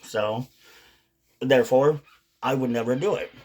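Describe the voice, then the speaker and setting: A man with a very slow, drawn-out delivery and a medium-pitched voice talks casually to his viewers. He rambles through his commentary with pauses and the odd curse. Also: a little mellow. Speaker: video host, hobby commentator.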